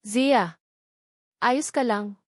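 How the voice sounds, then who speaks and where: A woman's voice: fairly high pitch, drawn-out words, no echo, clean studio sound. Woman voicing an earnest, remorseful Filipino husband. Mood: concerned. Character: remorseful, devoted husband, tender and anxious.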